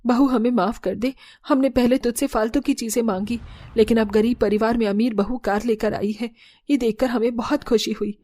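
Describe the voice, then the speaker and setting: A woman voicing a gentle, self-sacrificing older mother-in-law in a cartoon family story. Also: fairly high pitch, steady tone, normal speed, natural voice. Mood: moved. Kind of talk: Hindi cartoon story dialogue.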